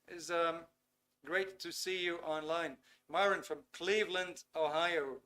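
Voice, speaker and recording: A Dutch man with a slightly raised voice, talking loosely and filling pauses with "um" and "uh". He speaks from a small studio, straight to camera. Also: a little high, sharp tone. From Netherlands. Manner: chatty, filler-heavy, reads chat messages aloud. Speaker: webinar host. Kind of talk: live webinar lecture. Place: small warm studio under lights.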